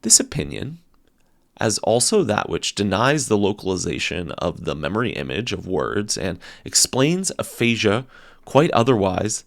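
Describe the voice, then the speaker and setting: Dramatic male reader, gravelly and animated. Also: on the low side, no room sound, studio quality. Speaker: reader of a philosophy text. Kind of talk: dramatic audiobook-style reading of a philosophical text.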